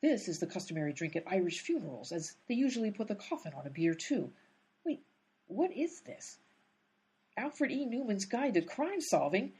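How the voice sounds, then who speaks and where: A woman's voice: mid-range voice, sing-song, moderate pace, mellow, husky. A woman playing an exasperated, determined but inept detective in a radio comedy, with an emphatic, easily sidetracked manner. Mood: muttering, puzzled.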